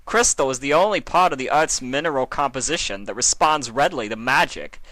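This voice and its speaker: Power-mad pony king male reader, earnest ranting, halting odd cadence lifting at sentence ends, dry recording. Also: medium pitch, expressive, pushed and bright, no room sound, voice pushed up. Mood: fanatical. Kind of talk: fan fiction reading, cartoon villain.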